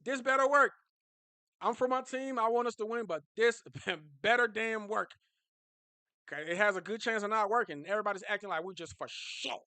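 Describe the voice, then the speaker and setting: A young man ranting about his team, high-pitched and bright, animated and emphatic, often repeating a phrase to drive it home. He speaks close to the mic, and the sound is dry. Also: no echo. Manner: animated, repetitive, laughing, emphatic. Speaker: sports fan vlogger. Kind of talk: casual fan reaction rant.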